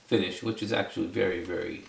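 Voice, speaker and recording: A man's voice: low voice, fairly even intonation, quick pace, vocal fry. A man giving a casual, unscripted product demonstration in a conversational, explanatory way, repeating phrases for emphasis. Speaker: product reviewer and video presenter. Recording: roomy echo, distant microphone.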